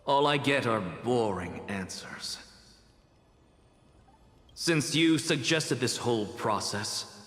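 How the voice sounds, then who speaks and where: A man voicing a haughty scholar impatient with convention in a game story, with a pompous, deliberate, theatrical delivery. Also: mid-range voice, expressive, a bit bright. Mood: dismissive. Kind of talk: voiced game story dialogue. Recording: echoey hall-like reverb.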